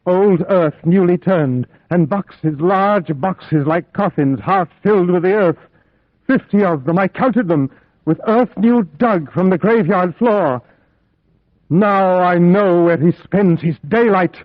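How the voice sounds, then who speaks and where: Terrified young captive, muffled old radio. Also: male voice, high voice, no echo. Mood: horrified. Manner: melodramatic, breathless dread, dramatic pauses. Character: young Englishman held captive, terrified, desperate, haunted. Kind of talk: old-time radio horror play acting. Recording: muffled old radio recording, music behind.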